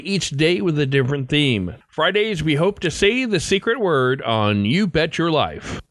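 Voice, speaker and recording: A man's voice: fairly high pitch, fairly bright tone, no room sound. A man reading a scripted podcast introduction as the host, close on the microphone.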